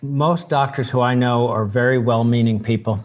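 A man's voice: medium pitch, fairly even intonation, normal speed. A man who is a doctor, explaining earnestly in conversation and often repeating words as he begins sentences. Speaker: doctor, guest.

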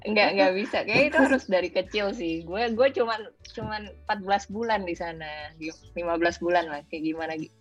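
A woman's voice: medium pitch, fairly quick, few pauses. A young adult woman with a colloquial Jakarta Indonesian accent, chatting casually as an interview guest and mixing in English phrases. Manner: informal, mixes in English phrases. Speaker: guest, former overseas student. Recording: dull lo-fi audio with music. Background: music.

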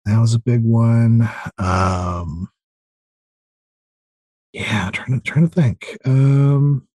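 Hesitant, enthusiastic man, husky close-up voice. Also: medium pitch, extremely slow, mellow, no room sound. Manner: hesitant, thinking aloud, enthusiastic. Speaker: podcast guest. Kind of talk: casual interview chat about video games.

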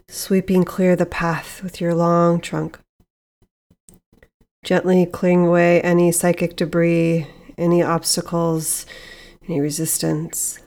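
A woman leading a guided meditation as host, speaking in a soothing, measured way with long pauses. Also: fairly low pitch, darkish tone.

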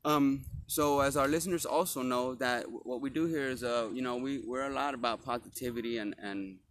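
A man's voice: medium pitch, brisk. Guy hosting a chill podcast outside in a park, lots of ums, faint hiss. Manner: relaxed, filler-heavy, friendly. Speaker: podcast host. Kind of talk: casual interview. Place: outdoors in a park.

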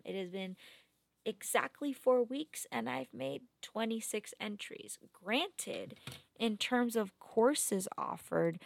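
A young woman records a spoken work log late at night, tired and methodical, picking apart her own habits as she thinks aloud. Her voice has a gravelly, fry-heavy edge. The sound is close and dry, with no room around it. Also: medium pitch, no echo. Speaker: research assistant logging work progress.

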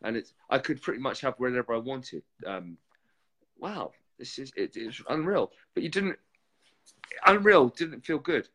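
Reflective man with halting restarts. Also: medium pitch, expressive, a bit bright. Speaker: guest, former reality TV contestant. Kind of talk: casual interview chat.

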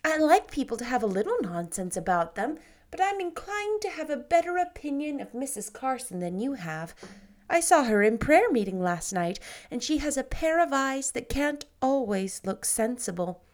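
A woman doing a bright, dreamy young heroine. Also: high voice, moderate pace, voice pushed up. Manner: lively, romantic, teasing.

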